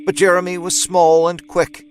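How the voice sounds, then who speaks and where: Higher-voiced man narrating a dramatic story. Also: completely flat delivery, slight background noise. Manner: deliberate, literary phrasing.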